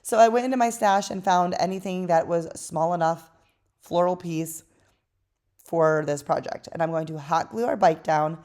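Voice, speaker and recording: A woman hosting a craft channel, chatting casually through a voice-over tutorial in a tired, hoarse voice. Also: lowish voice, moderate pace. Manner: chatty and relaxed, with fillers.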